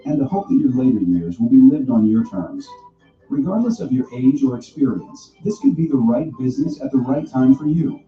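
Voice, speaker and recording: A man reading a scripted corporate promotional narration with a smooth, polished delivery. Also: mid-range voice, warm tone. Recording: dull, distant video playback in an echoey room. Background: background music.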